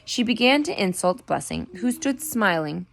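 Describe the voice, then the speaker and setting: A woman reading a book aloud in a deliberate storytelling manner, with an occasional stumble over the words. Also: medium pitch, lively intonation, creaky. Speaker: reader. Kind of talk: book read-aloud narration.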